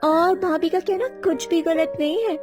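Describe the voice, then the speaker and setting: Woman voicing a very high, eager young city sister-in-law. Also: normal speed, over music. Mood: sincere, affectionate. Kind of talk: cartoon moral-story voice acting.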